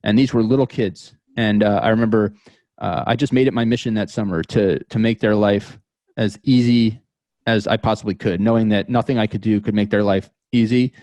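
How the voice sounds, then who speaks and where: An American man in his thirties telling personal stories as an interview guest, thoughtful and halting, often repeating words as he goes. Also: on the low side, fairly even intonation, warm tone, no room sound. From New York metropolitan area, United States. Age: 30s. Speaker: podcast guest. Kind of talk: reflective interview storytelling.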